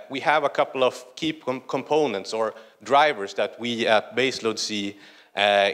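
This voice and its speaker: A man from northern Sweden with a Swedish accent, giving a conference presentation in a large hall in a conversational way with many "uh" pauses. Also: on the low side, fairly bright tone. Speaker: conference speaker.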